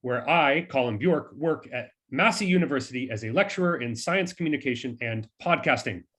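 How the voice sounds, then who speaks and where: A man co-presenting as a lecturer, reading a scripted talk in a formal, measured storytelling manner. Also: mid-range voice, expressive, fairly bright tone, husky.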